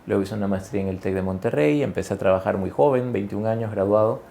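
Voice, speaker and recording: Male business guest, forties, from Ecuador, gravelly mellow voice, conversational with fillers, faint noise behind. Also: fairly low pitch, fast. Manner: conversational, many fillers and self-corrections, tag questions. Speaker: guest executive. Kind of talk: interview conversation.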